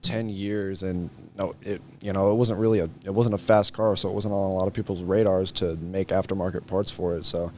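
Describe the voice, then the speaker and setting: Young man, late teens, somewhat low pitch, understated casual chat, faint background noise. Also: level tone, brisk, few pauses, darkish tone. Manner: modest, understated. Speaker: guest, high school senior.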